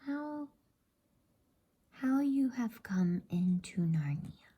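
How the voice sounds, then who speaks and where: A woman voicing a polite, flustered, inquisitive male faun in a storybook, courteous and hesitant, in a breathy whisper. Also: on the high side, animated, drawn-out words, mellow, voice pushed up, heavily acted. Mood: curious, courteous. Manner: courteous, hesitant, repeats himself. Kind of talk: whispered bedtime storybook reading.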